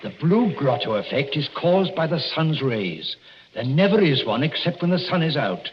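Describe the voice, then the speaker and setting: An actor playing a sardonic, skeptical gentleman doctor, formal and pedantic, in a 1940s radio drama. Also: on the high side, big pitch swings, normal speed. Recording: dull, faintly noisy 1940s radio recording.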